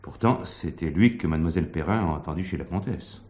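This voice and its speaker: Detective sidekick, low voice, laconic, streetwise, deferential, slightly muffled recording. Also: male voice, animated, quick pace, darkish tone. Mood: matter-of-fact. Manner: laconic, colloquial. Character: deferential, matter-of-fact police detective, streetwise subordinate. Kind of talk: French television crime drama.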